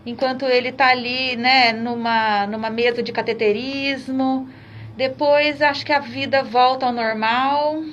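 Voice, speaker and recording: A woman's voice: high voice, fairly even intonation, unhurried, fairly bright tone, lo-fi sound, slight background noise. A Brazilian woman doctor explaining treatment on a radio interview, with many restarts. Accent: Brazilian Portuguese. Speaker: doctor guest.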